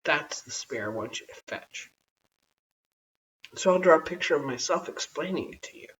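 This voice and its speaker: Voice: medium pitch, animated, normal speed, a bit bright, close to an everyday voice. An adult storyteller voicing an eager, chatty, polite little girl in a children's storybook. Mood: cheerful, explaining. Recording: dull, hissy, distant home recording.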